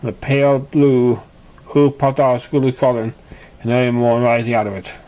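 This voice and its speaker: A man reading a story aloud as an amateur narrator, with a halting delivery that stumbles over words. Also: mid-range voice, little pitch movement, mellow, poor audio. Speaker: amateur fan fiction reader.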